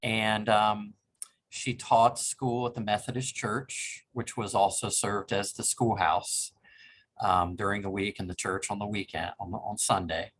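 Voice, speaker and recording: A man's voice: lowish voice, fairly even intonation, unhurried, fairly bright tone, breathy edge, rough recording. Polite older man recalling family history. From Cincinnati, Ohio. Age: 60s. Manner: polite, reflective, with frequent ums. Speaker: interviewee, reference librarian. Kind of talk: oral history interview.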